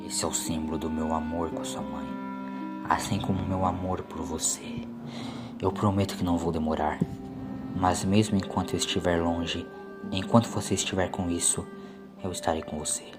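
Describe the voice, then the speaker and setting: A man's voice: deep voice, steady tone, normal speed, over music, natural voice. A Brazilian man voicing a brave, tender father, a former ship mechanic, in an audio story. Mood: tender, reassuring.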